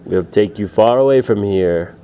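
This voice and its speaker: A man's voice: medium pitch, animated, slow. Man doing a bossy, menacing storybook rat. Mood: threatening. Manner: insistent, commanding, repetitive. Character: bossy, menacing gang of big rats. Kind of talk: children's storytelling character voice.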